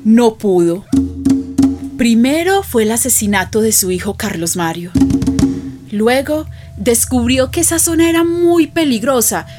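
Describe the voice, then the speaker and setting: Confiding Colombian neighbour, slightly high voice. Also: female voice, expressive, a little slow, over music. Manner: colloquial, confiding. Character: affectionate gossipy woman neighbour narrating her friend's life. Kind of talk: radio drama narration.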